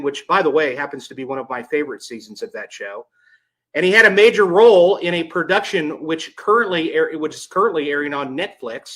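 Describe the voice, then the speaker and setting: A man's voice: fairly high pitch, bright, forceful tone. A man hosting a podcast interview, reading an introduction and then chatting casually, often repeating words as he starts a thought. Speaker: podcast host.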